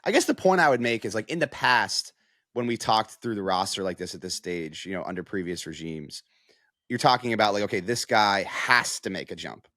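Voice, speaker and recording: Male sports analyst, low register, dry close recording, conversational and explanatory. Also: lively intonation, a little fast, sharp tone, no echo. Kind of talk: casual analytical sports talk.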